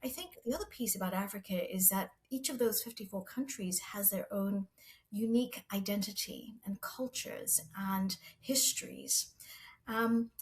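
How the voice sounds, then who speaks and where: A middle-aged woman who grew up in Zambia, speaking as a podcast guest. She talks in an earnest, reflective way with a measured pace. Her voice sits at a medium pitch. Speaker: guest, pharma medical executive. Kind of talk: interview storytelling.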